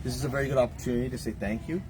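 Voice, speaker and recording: A man's voice: medium pitch, steady tone, very fast, over a noisy crowd, low-quality recording. A man with a Guyanese accent chatting upbeat while he cooks in a home kitchen, talking to viewers. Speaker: guest chef. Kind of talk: casual cooking chat.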